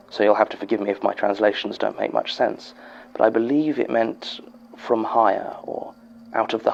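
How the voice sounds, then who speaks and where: Sober British archivist for horror audio drama: low, gravelly, measured, formal delivery of eerie statements, slightly muffled sound. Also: male voice, slight background noise. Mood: uneasy, intrigued. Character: sober head archivist reading a theatre technician's eerie testimony.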